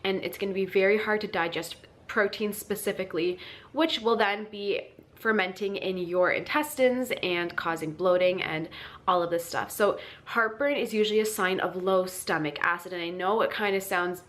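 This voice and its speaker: A woman with a cold talks straight to her viewers, her voice stuffy and nasal. She explains things in a loose, chatty way, full of ums and little restarts, pitched in an ordinary middle range. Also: normal speed, fairly bright tone. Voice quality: nasal, congested. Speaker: wellness vlogger. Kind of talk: casual advice to camera.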